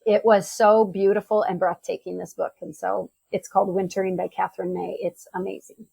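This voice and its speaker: A woman's voice: medium pitch, level tone, brisk, vocal fry, warm tone, husky, rough recording. A middle-aged American woman chatting casually about books as a podcast guest. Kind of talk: casual book chat.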